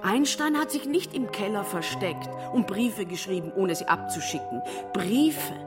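An actress in a radio drama playing a bitter, proud, long-suffering wife, reproachful and theatrical. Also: female voice, mid-range voice, lively intonation, measured pace, natural voice. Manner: reproachful, theatrical, emotional monologues. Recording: studio radio play over music.

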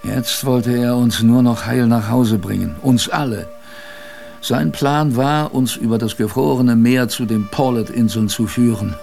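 An older, wry adventurer narrating his youth in a radio play. The delivery is slow and gravelly, with dramatic pauses and rhetorical questions, over faint music. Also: medium pitch, darkish tone. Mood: wry, reflective.

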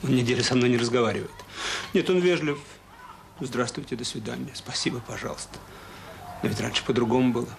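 A man's voice: mid-range voice, quick pace, creaky, slight background noise, lightly acted. An actor playing a troubled, remorseful middle-aged father in a radio drama, speaking hesitantly and earnestly. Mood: bitter regret. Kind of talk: Soviet radio drama acting.

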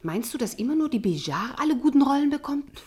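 An actress playing a vain, haughty wife in a radio drama, speaking in an imperious, cutting and coquettish way. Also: female voice, high-pitched, very animated, quick pace, mellow, slightly rough, exaggerated voice. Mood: scornful, commanding.

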